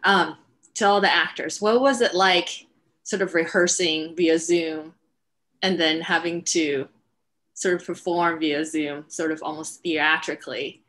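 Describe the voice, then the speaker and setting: Female moderator, middle-aged, slightly low pitch, online Q&A host on a video-call headset. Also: fairly even intonation, unhurried, a bit bright. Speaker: host, writer and director. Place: indoor room, video call. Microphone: video call, wearing headset.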